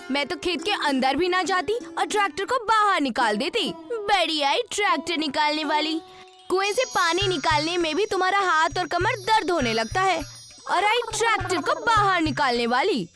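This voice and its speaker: A woman voicing a boastful, scornful old village woman in a fairy tale. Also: very high-pitched. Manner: gloating, scornful.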